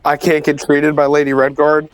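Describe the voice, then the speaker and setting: Casual male player, plain talker who works out his moves aloud over soft background music. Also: on the high side, monotone, moderate pace, poor audio. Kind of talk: casual tabletop game chat.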